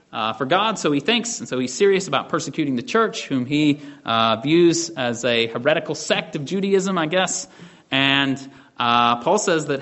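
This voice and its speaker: A man's voice: fairly high pitch, a bit bright. A man teaching a Bible study lesson in a conversational, explaining way, often checking in with "right?".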